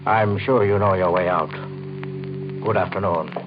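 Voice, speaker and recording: A curt dismissive gent in a 1950s radio thriller, real deep, music under him. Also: male voice, measured pace. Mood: cold, dismissive. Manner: formal, dismissive. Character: curt, dismissive man ending a visit. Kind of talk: 1950s radio mystery acting. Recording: muffled old radio recording, music behind.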